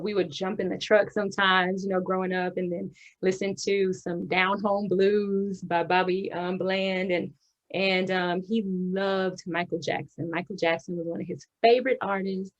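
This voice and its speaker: A young woman from the Houston area of Texas talks about her upbringing as an interview guest. She is chatty and warm, laughs often and fills pauses with ums. Her voice sits a little low. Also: flat delivery, dull audio. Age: young adult. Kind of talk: casual interview chat.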